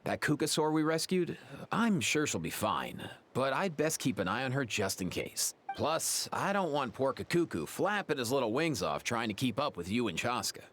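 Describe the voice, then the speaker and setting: Laid-back young vet with animated inflection. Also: male voice, medium pitch, moderate pace, a little hiss or hum. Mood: apologetic, fond. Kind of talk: video game voice acting. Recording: music under the voice.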